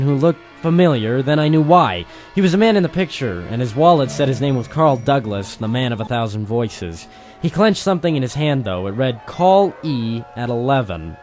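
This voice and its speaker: A man's voice: mid-range voice, lightly acted. Hardboiled detective, acted by a man, noir radio drama, terse wry delivery, weary, music underneath. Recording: music playing behind the voice.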